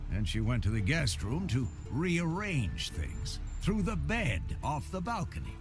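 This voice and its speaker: A man's voice: medium pitch, wildly swooping pitch, measured pace, music in the background. An actor playing an old fatherly mentor in a video game, wry and grumbling, fussy about manners. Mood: grumbling, exasperated. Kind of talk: video game cutscene acting.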